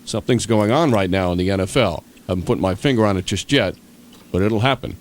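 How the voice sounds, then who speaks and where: An American man giving a radio sports report with dry, wry, deadpan commentary. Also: on the low side, brisk.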